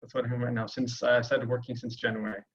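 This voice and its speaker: A man's voice: medium pitch, flat delivery, fast talker. Young German man on a call. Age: 20s. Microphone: video call. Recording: lo-fi video call audio.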